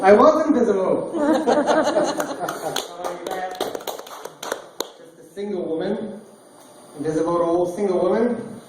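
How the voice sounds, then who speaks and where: A man doing stand-up one-liners in a deadpan delivery. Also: sits high, unhurried, long pauses. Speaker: stand-up comedian. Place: small bar stage, roomy and echoey. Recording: echoey distant bar recording, noisy. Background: small crowd laughing, bar noise.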